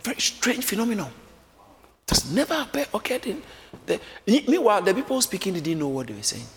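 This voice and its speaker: A man preaching a sermon, lively and often acting out the voices of a crowd, joking with his congregation. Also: high voice, a little fast. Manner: animated, acts out crowd voices, jokes with congregation. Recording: echoey hall with faint room noise.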